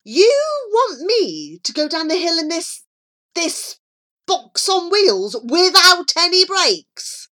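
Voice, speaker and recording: A woman's voice: very high voice, wildly swooping pitch, measured pace, bright, forceful tone, pitched above the performer's own voice, full character voice. A woman voicing a nervous young boy in a children's story, reluctant and dramatically emphatic. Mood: alarmed, protesting. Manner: protesting, emphatic.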